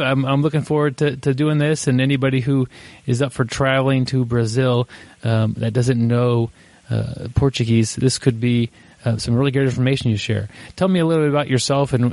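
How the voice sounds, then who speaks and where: A relaxed male podcast host who chats loosely and restarts his sentences as he goes. His voice sits at a mid pitch, and the recording is close and dry. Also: fairly quick, no echo. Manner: rambling, lots of fillers and restarts, laughs easily. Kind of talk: casual podcast chat.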